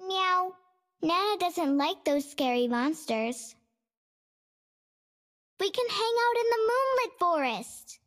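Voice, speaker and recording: A woman's voice: extremely high pitch, big pitch swings, slow, pauses often, fairly bright tone, exaggerated voice. A woman voicing a sweet, giggly little cat-eared forest girl in a video game.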